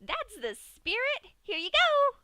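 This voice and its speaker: Pinkie Pie, a squealing hyper pony. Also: female voice, extremely high pitch, huge pitch swings, slow, sharp tone, no echo, studio quality, voice pushed up, heavily acted. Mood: gleeful. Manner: squealing, bouncy, gleeful. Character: hyper giggly young female pink pony.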